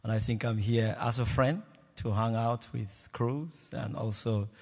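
A man on a panel introducing himself earnestly, with many fillers and pauses. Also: mid-range voice, fairly even intonation, darkish tone, slightly rough. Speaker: panelist, institute head. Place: large lecture hall stage. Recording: reverberant large hall.

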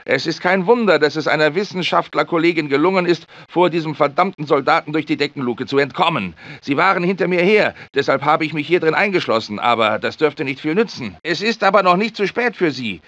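A man's voice: lively intonation, fairly quick, few pauses, a bit bright, no echo. A man voicing a fussy, anxious research scientist for a German video game dub, with theatrical, precise delivery. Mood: earnest. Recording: dry, muffled low-fi game audio.

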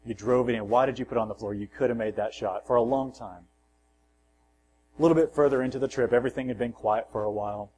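A man preaching a sermon in a church, at an ordinary middle pitch. He reads scripture with rising force and drops softly at the ends of his phrases. A faint hum sits under the recording. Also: fairly even intonation, quick pace, poor audio. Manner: self-deprecating asides and personal anecdotes. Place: church sanctuary.

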